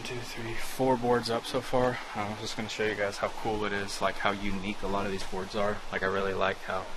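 A man with a lowish voice that stays fairly level talks viewers through his building work in a loose, relaxed way, leaning on filler words. He is recorded outdoors at a forest build site, and steady background noise is clearly audible behind him. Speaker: vlog host. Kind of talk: casual on-site build explanation.

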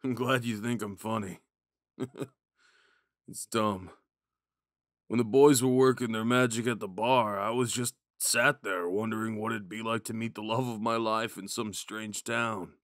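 Man acting a slow-talking drunk himbo jock. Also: fairly low pitch, no echo, clean studio sound, natural voice. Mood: wistful, romantic. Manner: drunken, halting, goofy, rambling. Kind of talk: ASMR roleplay acting, speaking to the listener.

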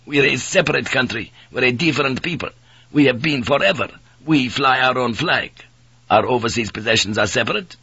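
A man voicing a hostile, hot-tempered priest in his twenties from Portugal, impatient and sharp as he interrogates, in an audiobook. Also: mid-range voice, normal speed, husky, no echo. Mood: flaring, indignant.

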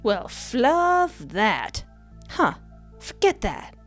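A woman's voice: a little high, huge pitch swings, very slow. A young woman reading a visual novel aloud and reacting with cheeky, sassy commentary. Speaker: streamer, narrator. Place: indoors at a desk. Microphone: headset and close desk microphone. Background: faint game music.

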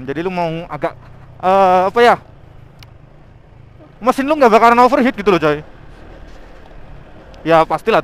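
Guy motovlogging, pretty high voice, slangy n excitable, bit of engine and wind noise. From Indonesia. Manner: excitable, exclamatory, interjection-filled. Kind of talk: casual riding vlog commentary, slangy. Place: outdoors, riding a motorbike on a forest mountain road.

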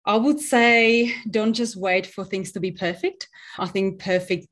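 A woman's voice: mid-range voice, unhurried, a bit bright. A woman in her thirties from the Czech Republic, speaking with a Czech accent as a business guest on a video call, earnest and explanatory. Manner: earnest, explains with hand gestures. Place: home office, virtual background. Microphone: webcam on video call.

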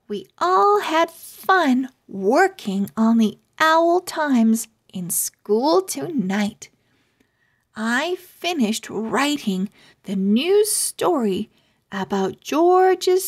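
A woman reading a children's storybook aloud in a deliberate, scripted narration. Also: a little high.

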